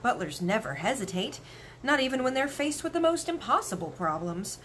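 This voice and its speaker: Female story reader: expressive, animated intonation, children's read-aloud style, faint background noise. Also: on the high side, normal speed.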